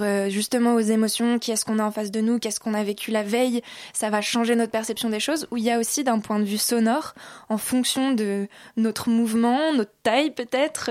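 A woman's voice: fairly high pitch, a little mellow, dry recording. A woman interviewing a guest in a conversational style.

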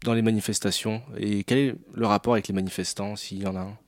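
A male radio co-host puts prepared questions in an interview. His pitch is somewhat low, and the studio sound around his voice is dry with little room in it. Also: level tone, fast, no echo.

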